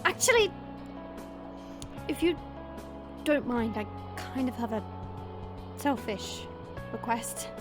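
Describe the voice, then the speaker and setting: Woman as a high-voiced, brash teasing vampire girl. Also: animated, slow pace, pauses often, music in the background. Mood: sheepish. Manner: sarcastic, playful, casual. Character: brash, teasing female vampire, cheeky and restless. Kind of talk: audio roleplay acting.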